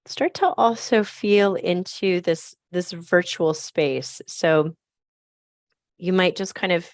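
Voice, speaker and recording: Woman w a scratchy raspy voice, lowish, soft slow meditation guide, dry close sound on a call. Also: dry recording. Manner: soft, slow, gently inviting. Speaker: program facilitator. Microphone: video call.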